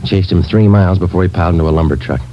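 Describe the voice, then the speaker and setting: An actor playing a terse, matter-of-fact police sergeant who narrates a 1950s radio crime drama in a clipped, flat way. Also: male voice, sits low, very fast, slight background noise, lightly acted. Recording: muffled old radio recording.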